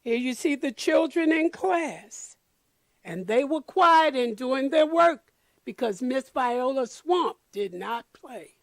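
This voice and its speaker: Female storyteller for children's picture book read-aloud: high register, unhurried and expressive, dry sound. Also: no echo. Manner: deliberate, addresses children and explains pictures.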